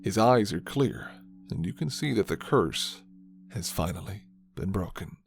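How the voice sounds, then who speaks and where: A man's voice: on the low side, lively intonation, unhurried, gravelly. A man reading a scripted second-person fantasy romance as narrator of an ASMR roleplay.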